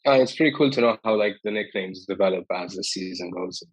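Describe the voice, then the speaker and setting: A man's voice: sits low, brisk, breathy edge, no echo, rough recording. A man interviewing someone casually, with informal, hesitant phrasing.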